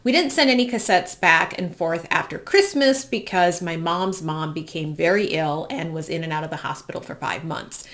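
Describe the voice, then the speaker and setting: A woman's voice: on the low side, normal speed, few pauses, a bit bright. An American woman in her forties from the Midwest, hosting with a personal, reflective monologue. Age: 40s. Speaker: host.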